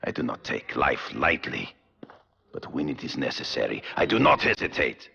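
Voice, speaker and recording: Very deep, gravelly master hunter. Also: male voice, big pitch swings, sharp tone, lo-fi sound, heavily acted. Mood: stern, proud. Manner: formal, grave, deliberate, honor-bound. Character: proud, solemn aging master hunter seeking a protege. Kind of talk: video game voice acting.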